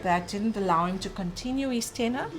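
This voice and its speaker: South African woman, earnest and thoughtful. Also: medium pitch. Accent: South African English. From Cape Town, South Africa. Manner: earnest, thoughtful, with frequent pauses and fillers. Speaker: guest. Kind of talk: conversational interview.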